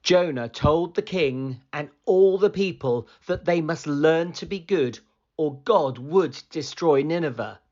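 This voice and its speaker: Storybook reader, male, slow, animated read-aloud delivery, muffled lo-fi recording. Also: a little high. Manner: slow, expressive storytelling, rising for excitement. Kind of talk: children's picture book read-aloud.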